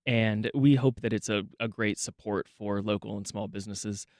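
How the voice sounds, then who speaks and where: Low-voiced man, chatty radio guest. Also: fairly even intonation, moderate pace, dry recording, clean studio sound. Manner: conversational, frequent um and restarts. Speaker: guest, neighborhood nonprofit director. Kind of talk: radio interview.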